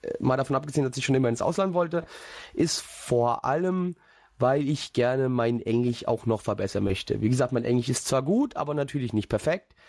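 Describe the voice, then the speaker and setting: A young man talks casually through a long personal story, wandering off topic and stumbling over fillers and restarts. His voice sits at an ordinary middle pitch. The recording is dry and close. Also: animated, brisk, a little mellow, no echo. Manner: rambling, chatty, many fillers and restarts. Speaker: podcast host.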